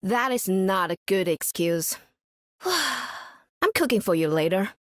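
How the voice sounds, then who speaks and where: An actress playing a kind, upbeat young scientist who talks like a caring aunt, with a gentle lilting manner. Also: female voice, medium pitch, very animated, slow pace, no room sound, clean studio sound. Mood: caring, chiding.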